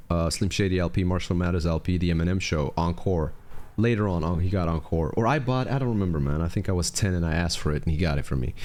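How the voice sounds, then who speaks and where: A man in his thirties from Belgium, speaking English, hosting a casual reaction video in a relaxed, chatty way with fillers and restarts. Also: deep voice.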